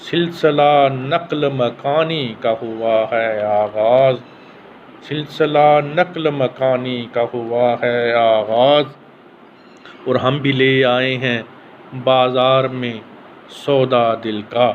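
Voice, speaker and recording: Male poet, Pakistani Urdu accent, mid-pitched, unhurried recital that repeats each verse; lo-fi, phone-like recording. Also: steady tone. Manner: repeats each verse, drawn-out measured delivery. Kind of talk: ghazal recitation. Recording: tinny phone-like sound with faint hiss.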